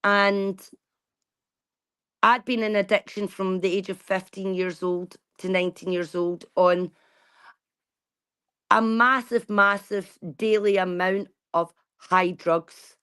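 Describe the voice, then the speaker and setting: A woman's voice: medium pitch, steady tone, slow pace, a bit bright, smooth. A woman, talking bluntly and casually to her viewers and swearing freely. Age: 40s. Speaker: vlogger. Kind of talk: casual chat.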